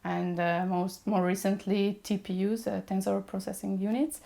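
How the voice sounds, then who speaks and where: A woman, a mechatronics engineer whose first language is Serbian, explaining machine learning in a podcast interview and pausing often with fillers as she works through her points. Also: medium pitch, little pitch movement, gravelly, dark tone. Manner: explains patiently with many hesitations. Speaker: guest.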